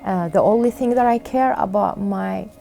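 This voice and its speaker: A middle-aged woman with an Iraqi Arabic accent telling her own story in an interview, halting, with many fillers and pauses. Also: medium pitch, creaky, warm tone, faint music behind. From Iraq. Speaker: refugee sharing her story. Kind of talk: interview testimony.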